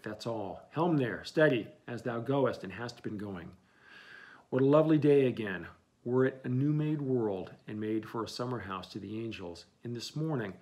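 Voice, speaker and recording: A man's voice: mid-range voice, gravelly, a little mellow, lightly acted. A man reading an audiobook, voicing an obsessed old male sea captain who broods and declaims.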